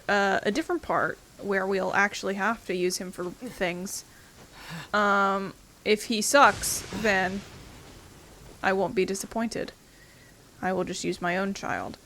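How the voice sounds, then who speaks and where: A woman's voice: on the high side, fairly bright tone, slight background noise. A woman giving casual playthrough commentary as a gaming host, in a wry, jokey, slangy way.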